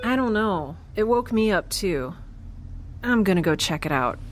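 A woman's voice: mid-range voice, expressive, normal speed, a little hiss or hum, lightly acted. A woman playing a chatty, quirky, anxiously upbeat space dweller in an audio drama, rambling wryly and naturally. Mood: groggy. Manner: rambling, wry, self-reassuring. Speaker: lead character. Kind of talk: naturalistic audio drama acting, talking to herself.

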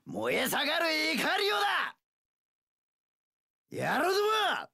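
Brash young pirate boss, gravelly, high-pitched for a man, wildly swinging intonation, rough gang-leader speech, video game. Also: wildly swooping pitch, pushed and bright, no room sound, clean studio sound, full character voice. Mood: fierce, rousing. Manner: rough delinquent-boss speech, drawn-out battle cries. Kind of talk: video game character voice lines.

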